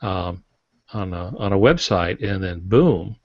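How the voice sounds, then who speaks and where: A man's voice: deep voice, animated, a little slow. A man speaking as a guest author on a podcast, explaining things conversationally in an informal, folksy, opinionated way. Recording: roomy, dull lo-fi podcast audio.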